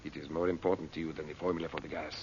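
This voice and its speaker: A man's voice: very deep voice, little pitch movement, rapid-fire, darkish tone. A man playing a sly, falsely humble schemer with a French accent and silky, over-polite phrasing in an old-time radio drama. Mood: coaxing, secretive. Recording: dull lo-fi old radio, faint hiss.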